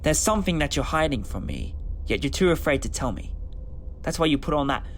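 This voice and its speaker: A man's voice: fairly high pitch, very animated, brisk, a little hiss or hum. A man playing an adventurous, compassionate time-traveling hero in a fan sci-fi audio drama, with a dramatic, earnest delivery. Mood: probing.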